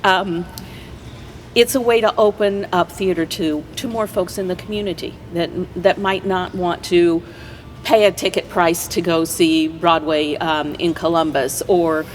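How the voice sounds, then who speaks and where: A woman guest in a conversational interview, explaining things agreeably in halting phrases. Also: fairly low pitch, steady tone. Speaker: community theater player, interview guest. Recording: faint noise and background ambience.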